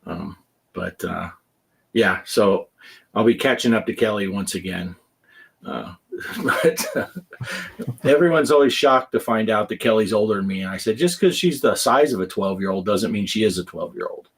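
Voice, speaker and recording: A man's voice: lowish voice, lively intonation, normal speed, creaky, a little hiss or hum. A man in his fifties hosting a casual gaming podcast, joking around and reading chat comments aloud. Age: 50s. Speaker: podcast host.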